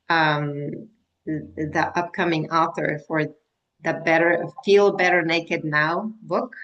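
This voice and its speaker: A woman in her fifties from Mexico works as a menopause wellness coach. Her voice sits low and she takes her time, circling back with "like" and restarting her sentences. She is talking casually over a video call. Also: a bit bright, rough recording. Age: 50s. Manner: halting, full of restarts and "like" fillers.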